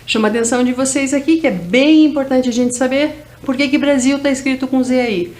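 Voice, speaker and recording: Brazilian woman teaching English slowly. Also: high-pitched, steady tone, a little mellow. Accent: Brazilian Portuguese. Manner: slow, drawn-out drilling of English words. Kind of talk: recorded video lesson for teens.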